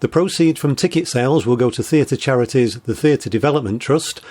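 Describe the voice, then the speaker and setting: A man's voice: mid-range voice, brisk, darkish tone. A man hosting an interview programme, giving scripted introductions and putting questions to his guest. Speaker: host and interviewer.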